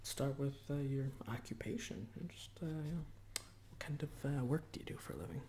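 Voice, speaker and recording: A man in a whispered ASMR roleplay as a portrait artist, chatting hesitantly in short phrases with pauses between them. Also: mid-range voice, darkish tone, slight background noise.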